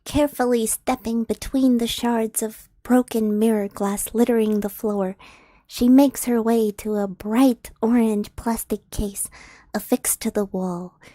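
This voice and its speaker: Brief: young woman, slightly high voice, slow and giggly, dry close sound. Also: steady tone, dark tone, no room sound. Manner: giggly, flustered, laughs often. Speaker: streamer reading fanfiction. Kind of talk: casual stream chat and read-aloud.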